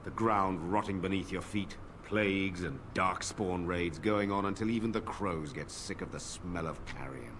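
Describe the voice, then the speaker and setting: A man's voice: deep voice, moderate pace, few pauses, noisy background, natural voice. A man voicing a bitter, weary nobleman in a fantasy video game, sardonic and dismissive in manner. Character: bitter, weary, scornful nobleman of a war-ravaged land.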